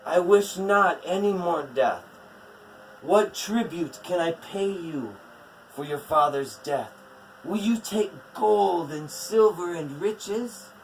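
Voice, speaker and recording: A man voicing the weary, peace-seeking king of the gods, who speaks in measured, pleading tones. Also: high voice, expressive, slight background noise, pitched above the performer's own voice, heavily acted, rough recording.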